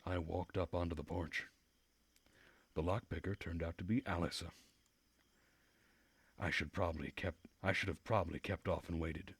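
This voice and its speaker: A man streaming a visual novel, reading the narration aloud in a measured way with dramatic pauses. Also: low-pitched, slightly rough, no room sound.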